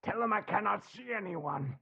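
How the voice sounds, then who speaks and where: A man playing a frantic, monstrous recluse with a strained, croaky voice in a radio play. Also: high-pitched, expressive, normal speed, bright, forceful tone, dull audio, full character voice. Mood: frantic, refusing. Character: frantic monstrous recluse hiding behind a closed door.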